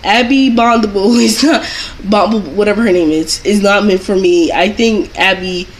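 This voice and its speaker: A young woman chats casually in a storytime vlog style, with a middling pitch. She rambles and circles back over what she has said. There is a faint layer of noise and room ambience behind her. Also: moderate pace. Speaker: doll collector vlogger telling a story.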